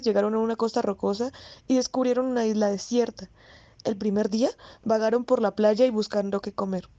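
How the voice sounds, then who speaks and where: A woman's voice: on the high side, mellow, no room sound, lightly acted. A woman playing a talkative, politely chiding boarding-house landlady in a radio play. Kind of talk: radio theatre storytelling. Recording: dull, dry, close recording.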